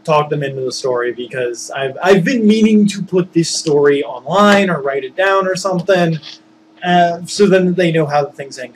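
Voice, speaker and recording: Man, slightly high pitch, informal rambling storyteller, animated, tabletop gaming tales, faint noise behind. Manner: informal, animated, acts out dialogue. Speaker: game master recounting his tabletop games. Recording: noisy background.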